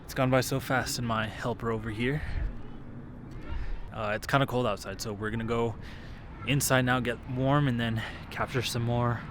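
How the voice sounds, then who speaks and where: A man's voice: medium pitch, steady tone, moderate pace, noisy background. A young man talking casually to camera as a vlogger, rambling with lots of fillers and restarts.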